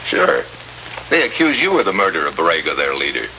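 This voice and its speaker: An actor playing a tough, sardonic American special agent in a 1950s radio drama, with a wry, clipped delivery. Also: male voice, rumbling low, wildly swooping pitch, a little fast, full character voice. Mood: brisk, suspicious. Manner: hard-boiled, wry, clipped. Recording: hissy, noisy old radio recording.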